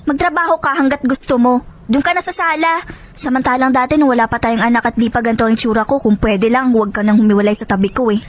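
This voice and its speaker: A woman's voice: fairly high pitch, fairly even intonation, fast talker, lightly acted. A woman acting as an exhausted, resentful young mother in a Filipino radio drama, whiny and reproachful with her husband. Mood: resentful, sarcastic. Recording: noisy background with room ambience.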